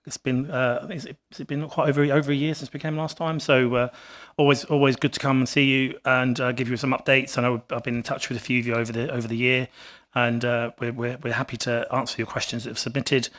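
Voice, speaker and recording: Gruff-voiced man with a cough. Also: mid-range voice, level tone, fairly bright tone. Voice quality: rough, gruff voice. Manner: halting, repeats words while answering questions. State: hoarse with a cough. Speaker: water company representative. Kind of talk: council committee presentation. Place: council committee meeting room.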